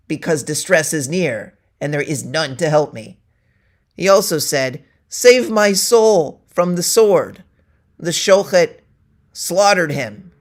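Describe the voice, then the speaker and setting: A man giving a deliberate, theatrical dramatic reading of a satirical story, declaiming its quoted verses. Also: fairly high pitch. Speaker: reader.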